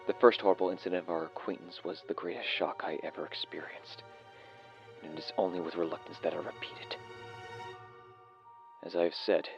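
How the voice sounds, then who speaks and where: A man playing a haunted doctor, solemnly recounting horrors in a measured, dread-laden gothic dramatic reading. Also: sits low, steady tone, creaky. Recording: dull audio with faint music.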